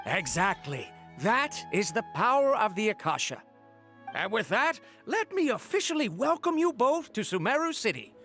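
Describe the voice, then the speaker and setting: A woman's voice: mid-range voice, big pitch swings, normal speed, a bit bright, soft background music. A woman playing a courteous, official city gatekeeper in a video game, speaking in a formal, polite, explanatory way.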